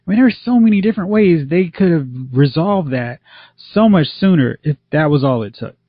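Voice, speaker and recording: A man's voice: on the high side, lively intonation, a little fast, no room sound. A man co-hosting a podcast, chatting casually and giving opinionated takes on TV episodes. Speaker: podcast co-host. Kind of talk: casual TV review chat. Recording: slightly dull lo-fi audio.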